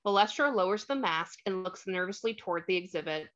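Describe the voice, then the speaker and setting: A woman's voice: on the low side, lively intonation, fairly quick, a bit bright. A woman reading scripted stage directions as narrator of a screenplay table read, on a slightly dull microphone.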